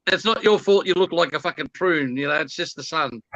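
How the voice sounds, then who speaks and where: A man's voice: on the high side, a little fast, fairly bright tone, dull audio. A man in a casual group chat ribbing a mate in matey, mocking banter.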